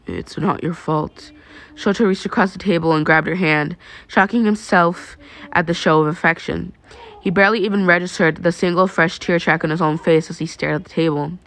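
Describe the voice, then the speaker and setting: Woman, lower-pitched voice, expressive story narration, fan fiction reader. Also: brisk.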